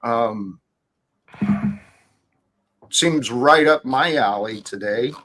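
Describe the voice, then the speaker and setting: Male host, casual chat, measured and corrective, mid-pitched. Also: low-quality recording. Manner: calm, corrects others in a measured way.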